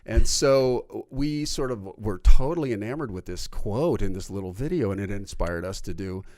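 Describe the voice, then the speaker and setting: A man co-hosting a podcast, chatting casually and often agreeing with a quick "right". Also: fairly low pitch, expressive, darkish tone, dry recording. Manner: affirming, says "right" often, reads a quote aloud. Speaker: podcast co-host.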